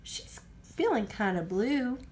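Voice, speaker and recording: A woman's voice: fairly high pitch, lively intonation, takes it slowly, darkish tone, a little hiss or hum. A woman voicing a cheerful, simple, good-hearted male train driver in a folksy, easygoing way for a picture book. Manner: folksy, easygoing, puzzled questioning.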